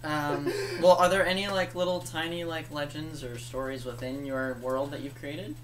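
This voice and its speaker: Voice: medium pitch, fairly even intonation, normal speed, slight background noise, low-quality recording. A co-host chatting casually, prompting a storyteller and teasing in a wry way. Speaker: co-host and listener. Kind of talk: casual banter.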